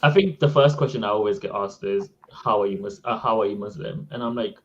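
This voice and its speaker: A man's voice: fairly low pitch, brisk, slight background noise. Man, native Sindhi speaker, casual chat, exasperated, dull lo-fi sound. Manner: animated storytelling, exasperated.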